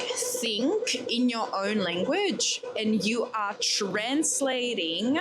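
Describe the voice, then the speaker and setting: A young woman with a Czech accent talks casually to her viewers at a middling pitch. She laughs often and turns to them with quick asides. Clear background noise runs under her voice. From Czech Republic. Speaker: vlogger. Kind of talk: casual Q&A vlog. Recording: noisy background ambience.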